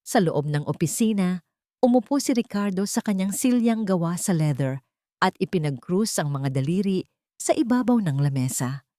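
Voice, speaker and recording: A woman's voice: lowish voice, lively intonation, normal speed, warm tone, dry recording, clean studio sound. A woman narrating a scripted story in a dramatic style.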